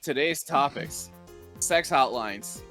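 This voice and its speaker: A man with a medium-pitched voice co-hosts a livestream. He delivers joking late-night host patter over faint background music. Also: lively intonation, slow pace, a bit bright. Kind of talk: joking mock late-night show hosting.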